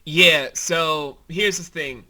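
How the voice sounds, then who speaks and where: Male creator-host, higher-pitched and sharply bright, rambling eagerly through informal commentary. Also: takes it slowly. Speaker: show creator co-hosting a commentary. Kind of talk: casual behind-the-scenes commentary chat.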